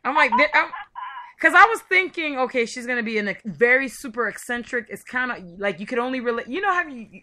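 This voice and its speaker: Female interview host, bright and punchy, effusive promotional chat. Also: a little high. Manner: effusive, gushing. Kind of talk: chatty promotional interview hosting.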